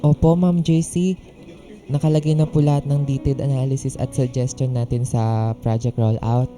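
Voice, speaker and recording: A man's voice: mid-range voice, level tone, moderate pace, warm tone, faint music behind, natural voice. An actor playing a timid, weary male office employee in a radio drama, hesitant and resigned. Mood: meek, nervous.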